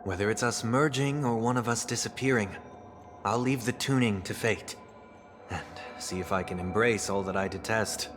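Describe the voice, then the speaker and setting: A male actor voices a refined, disciplined man in a dramatic video game scene. His pitch sits on the low side and his delivery is measured, formal and earnest. Music plays underneath. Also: lightly acted. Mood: calm, resolute. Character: refined, introspective man of rigid, stately discipline. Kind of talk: scripted dramatic game dialogue.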